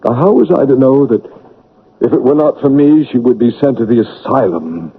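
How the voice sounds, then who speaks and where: An actor playing an anguished, brooding English gentleman with a dark secret in a classic radio drama, husky, pleading and impassioned. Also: medium pitch, animated, moderate pace. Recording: narrow, tinny old radio broadcast.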